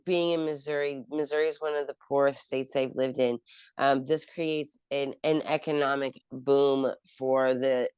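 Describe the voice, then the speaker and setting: Low smooth woman's voice, guest on an interview, lots of um and you know, dry sound. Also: no echo. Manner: rambling, heavy with "um" and "you know" fillers and restarts. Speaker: interview guest, cannabis company founder. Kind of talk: casual interview talk.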